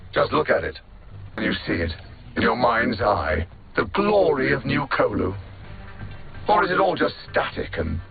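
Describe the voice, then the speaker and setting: An actor playing a cold, arrogant alien machine-intellect supervillain in a video game, haughty and sardonic with deliberate theatrical enunciation, through a synthetic radio-like filter. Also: male voice, medium pitch, creaky. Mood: irritated, imperious. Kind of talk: video game villain dialogue. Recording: narrow band-limited radio-like sound, music behind. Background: game music.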